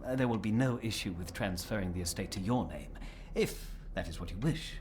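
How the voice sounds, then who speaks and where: An actor playing a courteous, discreet family lawyer in a video game, speaking formally and precisely. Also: male voice, lowish voice, brisk, a little mellow, a little hiss or hum, natural voice. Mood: formal, measured.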